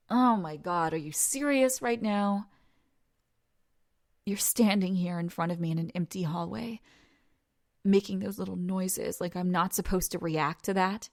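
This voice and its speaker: A woman playing a teasing, domineering young woman in an intimate audio roleplay, creaky and breathy, often dropping into whispers. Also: medium pitch. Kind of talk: intimate audio roleplay, second-person dramatic monologue.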